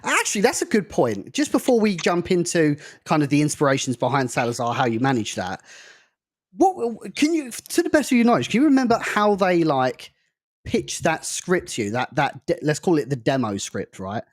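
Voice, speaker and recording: Lively man hosting a podcast. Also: on the high side, normal speed, dry recording, studio quality. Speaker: podcast host. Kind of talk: casual podcast interview.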